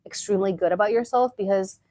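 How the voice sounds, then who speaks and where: A woman's voice: mid-range voice, fast talker, mellow, dry recording, studio quality. A young woman from Thailand chatting casually on a live stream, answering her viewers' comments and often repeating herself. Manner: chatty, repeats words, many fillers. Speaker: YouTuber reading viewer chat.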